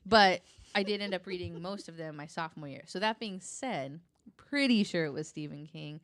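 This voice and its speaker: A woman's voice: lowish voice, moderate pace. A woman chatting casually and jokingly as a podcast co-host.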